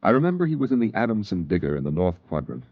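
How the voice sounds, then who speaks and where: A low, dry male voice, from a man in the role telling his memories in a measured, reflective way. The sound is muffled and dull, like an old radio broadcast. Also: very animated, dry recording. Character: grown man recalling his frontier youth. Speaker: narrator. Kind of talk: 1950s radio drama narration.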